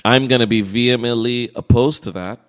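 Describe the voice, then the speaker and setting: A man's voice: medium pitch, a little fast, fairly bright tone. A man hosts a sports podcast, joking casually and crudely. He gives blunt opinions in an everyday mid-range voice, recorded with a long echo around it. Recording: echoey room with long reverb.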